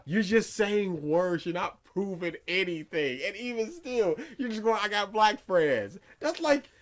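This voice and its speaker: A man with a high, swooping voice that rises and falls a lot as he talks. He is sarcastic and animated, and slips into quick mimicry of the person he is mocking in a casual podcast chat. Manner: animated, sarcastic, mimics others mid-sentence. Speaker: podcast co-host.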